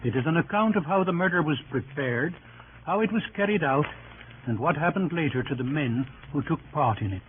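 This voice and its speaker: A man's voice: on the high side, lively intonation, a little fast, slight background noise. A man narrating a vintage radio drama from a script, with formal, dramatic phrasing. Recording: tinny old radio broadcast, music behind.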